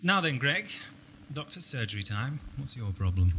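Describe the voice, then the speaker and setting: A man with an English accent playing a whimsical, chatty, easily miffed time traveller in an amateur audio drama, with playful, expressive delivery. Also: mid-range voice, normal speed. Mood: inquisitive. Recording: noisy 1980s cassette recording.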